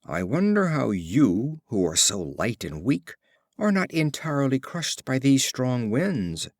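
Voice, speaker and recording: A man's voice: mid-range voice, very animated, a little slow, no echo. A man plays a large, proud oak in a storybook fable. The speech is formal and wondering, with wide intonation in a mid-range voice. It is recorded dry. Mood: puzzled.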